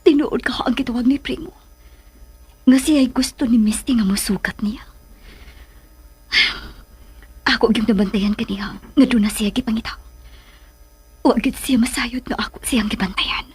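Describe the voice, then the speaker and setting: A woman's voice: fairly high pitch, normal speed, slightly rough. A woman acting a doting, watchful mother in a Filipino radio drama, with a Bisaya accent. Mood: secretive, worried.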